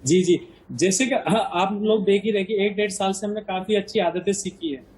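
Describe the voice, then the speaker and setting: A man's voice: sits high, moderate pace, darkish tone, husky, low-quality recording. A man speaking as a doctor on a live TV health show, explaining in a hesitant way with many fillers and restarts. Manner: explanatory, lists symptoms. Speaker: doctor guest.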